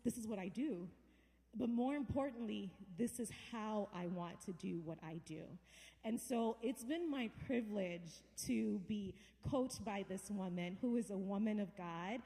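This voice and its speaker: A woman's voice: mid-range voice, warm tone. A woman hosting a church event, earnestly and gratefully introducing her guest speaker. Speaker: event host. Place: large church hall. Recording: long reverb of a big church hall.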